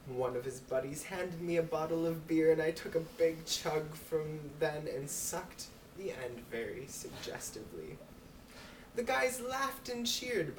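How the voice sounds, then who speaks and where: A woman reading a story aloud as an amateur, with a giggly manner. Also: deep voice. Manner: giggly, breaking into laughs while reading. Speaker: amateur reader. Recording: roomy, distant mic with clear noise.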